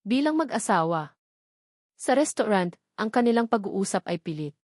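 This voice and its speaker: A woman's voice: medium pitch, very animated, normal speed, a little mellow, no echo, clean studio sound. A woman narrating a dramatic love story from a script.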